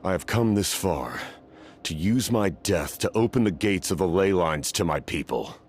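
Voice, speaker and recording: An actor playing a stoic, weary, centuries-old warrior captain in a video game, grave and deliberate. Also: male voice, low-pitched, animated, a little hiss or hum. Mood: grave resolve. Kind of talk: scripted video game voice acting.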